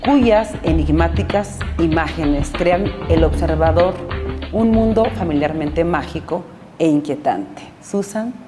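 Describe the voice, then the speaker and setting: Woman host, slightly low voice, courteous interviewer over music. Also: slow pace. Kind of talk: interview questions. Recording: music behind.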